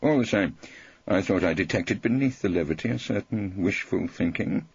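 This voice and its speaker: A man's voice: low-pitched, animated, normal speed, creaky, a little mellow, slightly rough, highs cut off. A man reading a wry first-person story as an audiobook narrator.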